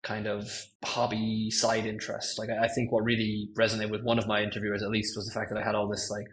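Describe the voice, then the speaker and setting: Low, flat-voiced Irish man. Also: fast talker, slight background noise. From Ireland. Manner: rambling and self-correcting, many ums, laughs easily. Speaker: guest, machine learning engineer. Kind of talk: casual podcast chat.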